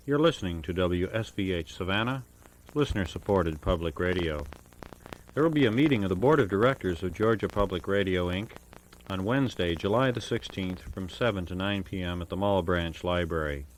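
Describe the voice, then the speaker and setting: A man working as a radio DJ, introducing jazz records in a measured, formal announcing style with careful enunciation of names and dates. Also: low-pitched, slight background noise, poor audio. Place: radio studio. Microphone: broadcast studio microphone.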